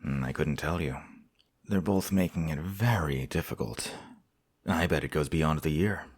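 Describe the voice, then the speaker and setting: An actor playing a courteous visiting prince in an audio drama, measured and formal in manner. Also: male voice, a bass voice, no room sound, studio quality. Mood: grave, measured. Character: courteous, composed visiting foreign prince, a candid friend.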